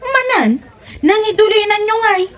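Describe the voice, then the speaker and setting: A woman's voice: very high-pitched, animated, moderate pace, fairly bright tone. A woman playing an exasperated grown daughter in a comedy audio drama, speaking with an Ilocano accent. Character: exasperated grown daughter nagging her stingy mother.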